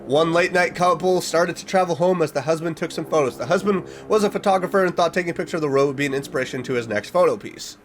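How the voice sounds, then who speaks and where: A man's voice: on the high side, fast, few pauses, a little hiss or hum. A man giving casual reaction commentary, reading aloud and reacting expressively, drawing out words for emphasis. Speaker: reaction video host.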